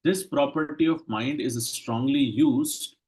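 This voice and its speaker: Man, lecturer, husky voice, short phrases, pauses for interpreter. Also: medium pitch, darkish tone. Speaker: Ayurveda lecturer. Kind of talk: lecture in English, interpreted consecutively.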